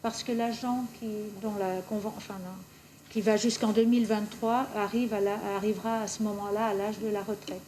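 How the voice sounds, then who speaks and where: Female official, soft-edged higher voice, formal meeting explanation, faint noise behind. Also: level tone, brisk, rough recording. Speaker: municipal official presenting a council resolution. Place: municipal council meeting.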